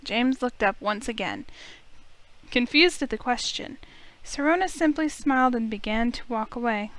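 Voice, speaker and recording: A woman reading a visual novel aloud as an expressive first-person story, with music underneath. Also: a little high, moderate pace, rough recording.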